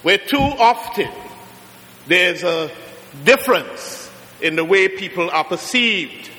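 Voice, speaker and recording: A middle-aged man from Trinidad with a Trinidadian accent, preaching a sermon as a guest preacher, slowing down and rising to emphatic peaks. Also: sits high, lively intonation, pushed and bright. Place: large church. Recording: long church reverb.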